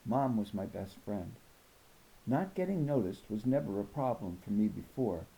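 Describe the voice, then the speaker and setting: A man reads a children's novel aloud as a storyteller. His voice is very soft-edged and warm, with some gravel in it. The delivery moves up and down expressively from phrase to phrase. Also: lowish voice, expressive, normal speed, rough recording. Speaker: audiobook reader.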